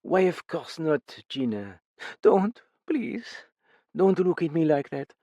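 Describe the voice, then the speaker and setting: A man reading an audiobook, voicing a brittle, grieving middle-aged widow in a raised, halting, pained voice. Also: on the high side, sing-song, warm tone, no room sound, muffled recording, voice pushed up, exaggerated voice. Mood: anguished. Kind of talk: audiobook character voice.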